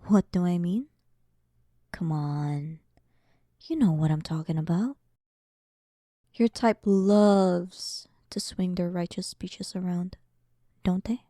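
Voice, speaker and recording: A dominant demon queen, acted by a woman, speaks slowly and with soft, mellow menace. She is arrogant and sarcastic, toying with her listener in a roleplay audio drama, often sinking into breathy near-whispers. The recording is close and dry. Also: lowish voice, dry recording. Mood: taunting, amused. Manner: slow, dominant, teasing, condescending, often dropping toward a whisper.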